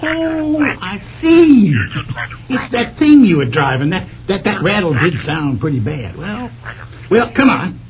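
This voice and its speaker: A man playing a good-natured rural American husband who likes pulling legs, with halting homespun talk in radio drama style. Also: high voice, big pitch swings, exaggerated voice. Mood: puzzled, obliging. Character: folksy rural husband Walt, good-natured leg-puller. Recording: thin phone-like radio sound, clear noise.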